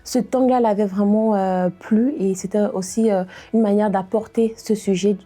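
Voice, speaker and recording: A woman in her thirties from Côte d'Ivoire, a diversity and inclusion trainer, giving a testimony with frequent pauses and hesitations. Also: mid-range voice, steady tone, warm tone. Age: 30s. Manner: hesitant, many pauses and "euh" fillers. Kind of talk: interview testimony to camera.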